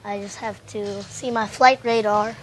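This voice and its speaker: A woman with a Filipino accent talks through a casual vlog in a rambling, excitable way, thinking out loud as she films outdoors on a handheld phone. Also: mid-range voice, unhurried, slight background noise, low-quality recording. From Philippines. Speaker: vlogger.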